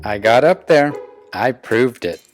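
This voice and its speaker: A man's voice: medium pitch, expressive, a little slow, close to an everyday voice. Male reader as proud young pelican boy, earnest storybook dialogue, loyal friend, light music underneath. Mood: proud, defensive. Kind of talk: scripted children's picture book read-aloud dialogue. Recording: music behind the voice.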